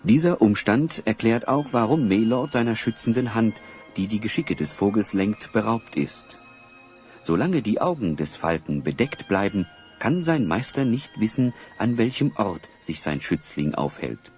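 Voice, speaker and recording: A man's voice: fairly low pitch, very animated, a little slow, darkish tone, soft background music. A man narrating a fantasy audio play in a scripted storybook style.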